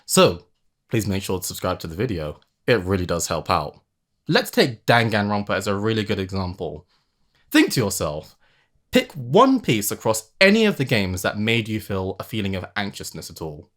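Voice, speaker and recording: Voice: low voice, huge pitch swings, moderate pace. A video essay host narrating analysis with casual asides, in an emphatic, conversational way. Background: music under the voice.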